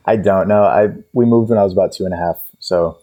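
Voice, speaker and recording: A man's voice: deep voice, quick pace, dark tone, highs cut off. A man in his twenties, born in Israel, casually telling family stories with frequent fillers. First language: Russian. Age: 20s. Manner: easygoing storyteller with fillers.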